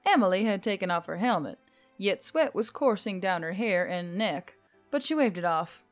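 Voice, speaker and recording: A woman's voice: mid-range voice, lively intonation, moderate pace. A woman reading scripted fiction as an audiobook narrator. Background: music.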